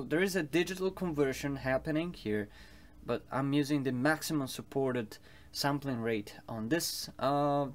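A man giving an informal explainer as a home-studio musician, speaking in a halting way with many filler pauses. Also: mid-range voice. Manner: halting, hesitant delivery with frequent long filler pauses. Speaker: home-studio musician presenting his recording process.

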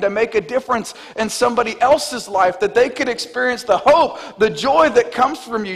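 High-voiced man preaching in a hall. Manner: animated preaching, walking the stage. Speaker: pastor. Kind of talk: sermon. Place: large church stage, indoors. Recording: long hall reverb.

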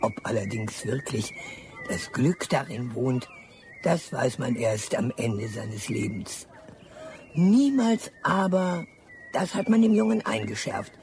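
A man's voice: medium pitch, a little mellow. A man acting in a radio drama as a theatrical street storyteller, drawing out his words dramatically. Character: theatrical street storyteller spinning a folk legend. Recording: clear outdoor animal ambience noise behind.

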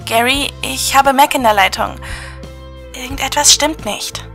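An actress playing a flustered radio station assistant in a radio drama. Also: female voice, high voice, a little slow, a bit bright, soft background music. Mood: worried.

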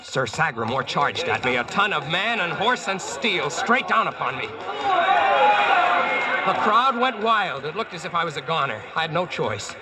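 A man's voice: fairly high pitch, big pitch swings, moderate pace, a loud crowd, heavy background noise. An actor playing a wry, plainspoken Yankee spinning his tall tale in folksy slang, in 1940s radio drama style. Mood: wry, tense. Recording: vintage radio recording with music behind.

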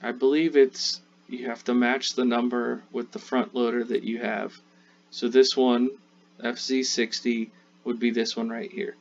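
A man hosting a casual gameplay tutorial, chatting in a relaxed way as he plays, with game sounds faintly behind. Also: mid-range voice, a little slow, vocal fry, muffled recording, slight background noise. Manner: relaxed, chatty, talks while playing. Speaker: gaming channel host.

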